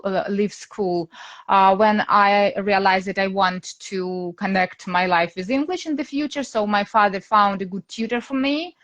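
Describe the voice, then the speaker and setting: A Ukrainian woman in her thirties speaking English with a Ukrainian accent, a teacher being interviewed in a casual live chat, pausing often to search for words. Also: medium pitch, a bit bright. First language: Russian. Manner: hesitant, searching for words, many fillers. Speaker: guest teacher.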